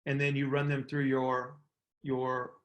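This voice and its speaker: A man's voice: medium pitch, monotone, a little slow, highs cut off. A middle-aged man hosting a casual coaching call, explaining emphatically, repeating key words and checking in with "right?". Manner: explanatory, emphatic word repetition, frequent "right?" check-ins.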